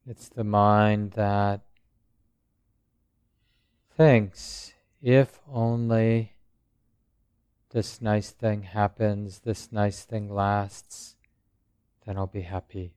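A man who is a meditation teacher, speaking or chanting slowly and with measured, long pauses. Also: on the low side, level tone, a little mellow, smooth, dry recording, studio quality. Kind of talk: slow chanting and guided meditation talk. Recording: dull, muffled recording.

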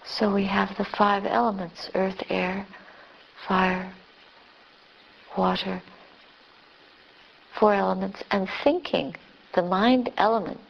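A woman leading a guided meditation as a teacher, speaking gently and unhurriedly in short phrases with long pauses. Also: medium pitch, fairly even intonation, gravelly, dull audio. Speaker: Buddhist nun, meditation teacher.

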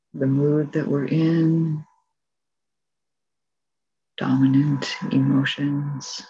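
A woman teaching meditation, giving calm, gentle spoken guidance with long pauses between phrases. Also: low voice, lo-fi sound, a little hiss or hum. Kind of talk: guided meditation, soft spoken instruction.

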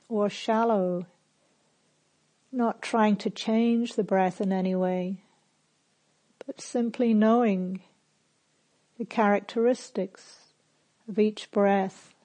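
A woman leads a guided meditation as a teacher. Her voice is dark and relaxed, and she speaks very slowly. She phrases each instruction gently and calmly, leaving long pauses between them. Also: mid-range voice.

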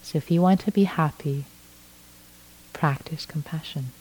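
Woman meditation teacher from Iran, native Farsi speaker, low voice, mellow tone, gentle and halting. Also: slight background noise, low-quality recording. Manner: slow, gentle, with frequent restarts. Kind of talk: dharma talk.

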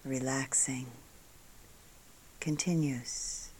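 A woman with a low, soft voice leads a guided meditation. She speaks very slowly and calmly, leaving long pauses between short phrases. The ends of her phrases often fade into breathiness. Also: husky, poor audio. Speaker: meditation teacher.

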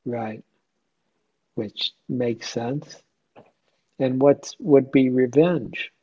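A man's voice: medium pitch, extremely slow, warm tone, husky, lo-fi sound. A man leading an informal group discussion as a meditation teacher, conversational and questioning, often checking in with listeners. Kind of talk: informal question-and-answer dharma talk.

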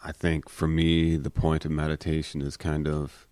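A man hesitantly sharing his view of meditation in a group, pausing often with fillers. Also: rumbling low, monotone, dark tone, dry recording.

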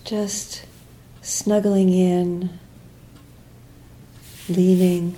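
Very mellow, slow-speaking woman guiding meditation. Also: fairly low pitch, flat delivery, poor audio. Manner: soft, unhurried, with long pauses between short phrases. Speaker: meditation teacher. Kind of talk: guided meditation.

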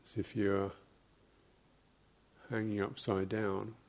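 A man giving guided meditation instruction as a teacher, speaking in short, measured phrases with long, halting pauses. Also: on the low side, flat delivery, a little mellow.

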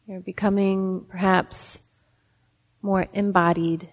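A woman meditation teacher leading a guided meditation, giving gentle instructions with long pauses. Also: medium pitch, level tone. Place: meditation center hall. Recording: reverberant hall.

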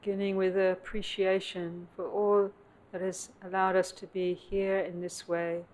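A woman meditation teacher leading a guided meditation in contemplative, measured phrases with long pauses, recorded live at a meditation center. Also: mid-range voice, level tone, a little mellow, poor audio. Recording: clear background noise, live room ambience.

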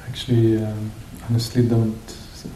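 Very slow, soft-toned man guiding meditation. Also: completely flat delivery. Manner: hesitant, searching, pausing between short phrases. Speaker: meditation teacher leading a group. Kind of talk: guided meditation talk, unscripted and reflective. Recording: roomy echo, faint noise with low rumble.

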